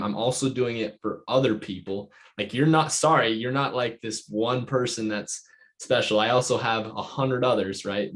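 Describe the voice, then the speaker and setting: Podcast host guy, lowish voice, casual chatty, says like a lot. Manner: conversational, frequent likes and rhetorical checks. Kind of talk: casual interview chat.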